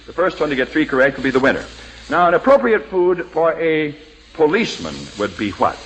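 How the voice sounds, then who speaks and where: Male 1940s radio quiz emcee: jovial, teasing, lively pitch, old broadcast hiss. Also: a little fast, low-quality recording. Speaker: quiz show host.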